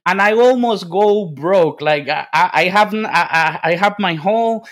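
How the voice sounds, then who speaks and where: A man with a Dominican Spanish accent speaks in a high, eager voice. He tells his story at full energy, repeating words and restarting his sentences. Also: moderate pace, fairly bright tone. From Dominican Republic. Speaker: guest, business development consultant. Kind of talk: casual interview storytelling.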